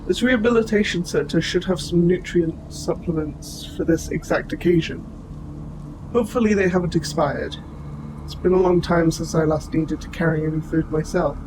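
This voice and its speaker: A man voicing an old military robot, formal, precise and gently protective, in a scripted audio roleplay addressed to the listener. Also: a little high, background noise, natural voice. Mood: calm, informative. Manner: formal, precise, measured phrasing. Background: music.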